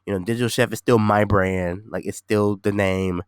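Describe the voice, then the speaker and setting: Man, podcast host, congested, nasal, low voice, dry close sound, rambling casual talk. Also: steady tone, normal speed, clean tone, no room sound. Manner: rambling, repeats himself, many fillers.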